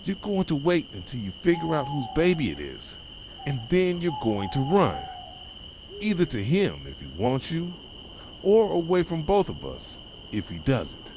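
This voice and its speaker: A man playing an obsessive, possessive boyfriend in an ASMR roleplay, speaking softly and intensely straight to his partner. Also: a little high, huge pitch swings, a little slow, noisy background, exaggerated voice. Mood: accusing, bitter. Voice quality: soft voice. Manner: intense, pleading yet controlling, addressing a partner directly. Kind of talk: ASMR roleplay, dramatic monologue. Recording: nighttime cricket and owl ambience behind. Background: crickets, insects and hooting owls.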